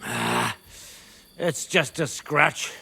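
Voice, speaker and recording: A man's voice: mid-range voice, lively intonation, a little slow, fairly bright tone. World-weary middle-aged medieval nobleman. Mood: pained, gruff. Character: wary, pragmatic medieval nobleman and father of grown daughter. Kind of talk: video game cutscene acting.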